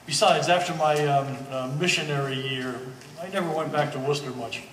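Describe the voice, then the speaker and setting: A man's voice: medium pitch, fairly quick, a bit bright, close to an everyday voice. A man playing an easygoing old family friend in a stage play, with a wry, hesitant, joking manner. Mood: wry, casual. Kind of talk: one-act stage play performance. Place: gallery stage performance, indoors. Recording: echoey hall, distant mic, faint noise.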